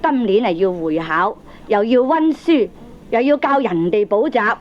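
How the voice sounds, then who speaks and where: A woman playing a sharp-tongued middle-aged gambling wife in a comedy radio drama, scolding sarcastically in Hong Kong Cantonese. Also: medium pitch, lively intonation, measured pace, natural voice. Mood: reproachful. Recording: dull old cassette radio drama recording.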